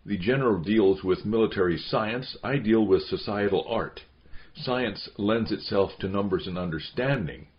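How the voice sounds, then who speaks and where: Pompous, deep-voiced politician read by a man. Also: normal speed, slight background noise, natural voice. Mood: self-important. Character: calculating male politician, self-important and defensive. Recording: dull, lo-fi sound.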